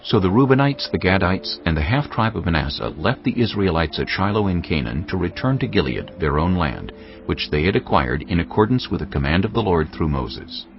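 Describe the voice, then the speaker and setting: Deep-voiced male scripture narrator over music. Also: big pitch swings, brisk, dull audio. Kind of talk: dramatized audio Bible narration.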